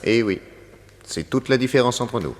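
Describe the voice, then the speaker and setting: An actor playing a cool, wry master detective with deadpan insolence in a radio serial. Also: male voice, medium pitch, normal speed, long reverb tail, close to an everyday voice. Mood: dry insolence.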